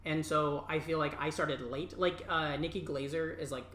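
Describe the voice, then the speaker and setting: A man's voice: a little high, fairly even intonation, moderate pace, a bit bright, slight background noise. A man in his thirties from Southwest Iowa, a comedian guest talking in a rambling, upbeat way with casual swearing. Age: 30s. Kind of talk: casual podcast chat. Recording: roomy echo.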